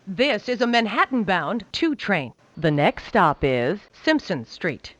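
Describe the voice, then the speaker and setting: Woman train announcer, lively pitch swings. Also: dry recording. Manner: measured, formulaic announcer delivery. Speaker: transit announcer.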